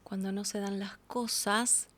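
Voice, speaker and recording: A woman's voice: mid-range voice, fairly even intonation, unhurried, fairly bright tone. A woman with an Argentine accent speaking as an interview guest, casual and reflective, acting out little quotes as she explains.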